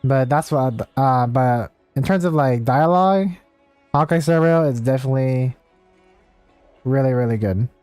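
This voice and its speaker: A man chats casually as he streams a game. His voice is mellow and relaxed, and he rambles hesitantly. The sound is dry and close, with faint music behind. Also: medium pitch, unhurried, clear, resonant tone, no room sound. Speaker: game livestreamer. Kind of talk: casual livestream chat with viewers.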